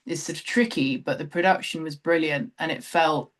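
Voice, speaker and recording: A woman's voice: low-pitched, moderate pace. A woman in her thirties from London with a southern English accent, talking reflectively and self-deprecatingly as an interview guest, thinking aloud as she recalls her experiences. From London, England. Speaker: interview guest, theatre director. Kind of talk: conversational interview, personal recollection.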